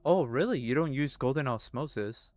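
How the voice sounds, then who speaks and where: A man's voice: mid-range voice, animated, moderate pace, darkish tone, dry recording. A man in his thirties, streaming a game and chatting casually with his viewers, laughing and swearing easily. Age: 30s. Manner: laid-back, swears and laughs easily, talks to chat. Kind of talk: casual live-stream chat while gaming. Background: faint music.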